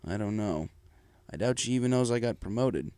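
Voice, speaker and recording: A man's voice: fairly low pitch, expressive, normal speed, warm tone, clean tone, no room sound, lightly acted. A man voicing a caring adult male pegasus factory manager in a storybook reading, subdued and earnest. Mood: quiet concern.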